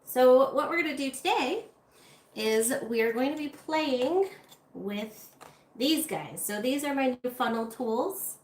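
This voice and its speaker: A woman's voice: sits high, expressive, unhurried. Chatty woman hosting a craft livestream. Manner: chatty, talks to viewers while working. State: slightly congested. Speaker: art channel host. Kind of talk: casual livestream chat while crafting. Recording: roomy with faint room noise.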